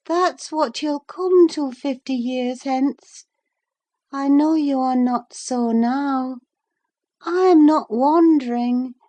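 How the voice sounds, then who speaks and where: A woman reading an audiobook, voicing a delirious, frightened young woman in a high, breathless, anxious voice. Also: slow pace, warm tone. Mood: dreamy. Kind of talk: audiobook character voice.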